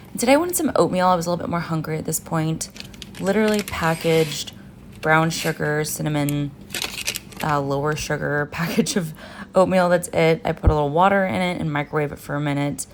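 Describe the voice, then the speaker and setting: A woman's voice: sits low, creaky, noisy background. A woman in her thirties from Finland, a nutritionist chatting casually to viewers as she narrates her day of eating. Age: 30s. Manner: casual, chatty, upbeat, addresses viewers as guys. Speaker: nutritionist and vlogger. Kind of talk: what-I-eat-in-a-day vlog narration.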